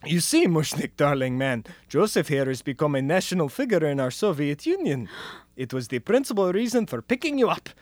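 Comic radio drama: male actor as self-important Soviet manager, menacing or fawning, lively higher-set delivery. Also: a little fast, no echo. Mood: fawning, grand. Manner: theatrical, self-important, unctuous. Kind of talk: comic radio play acting.

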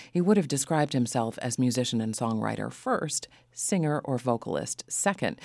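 A woman's voice: very deep voice, darkish tone, no room sound. Female radio host, dry close studio sound. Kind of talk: public radio interview with scripted introduction.

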